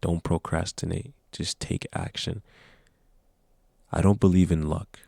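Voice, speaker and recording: A young man of nineteen speaks to his camera in an earnest, rambling way, full of restarts. His voice sits very low with a gravelly fry and little rise and fall. The sound is dry and close. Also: little pitch movement, measured pace, mellow, no echo. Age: young adult. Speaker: vlogger. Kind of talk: casual talk to camera.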